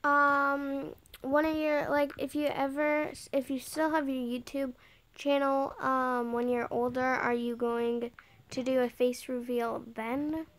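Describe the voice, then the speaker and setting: A woman's voice: sits high, flat delivery, slow, fairly bright tone. A young girl giving a casual tour in a game on a handheld device, chatting and often dropping to a whisper. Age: child. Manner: chatty, drifts into whispers while playing. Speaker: channel owner giving a game house tour. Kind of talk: casual gameplay commentary.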